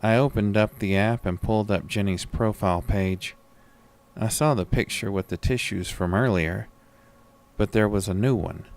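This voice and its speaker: A man's voice: lowish voice, moderate pace. A man narrating a first-person horror story from a script.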